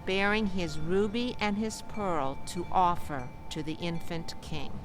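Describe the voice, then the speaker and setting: A woman narrating a scripted story in a measured way, phrase by phrase. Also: on the low side, over music.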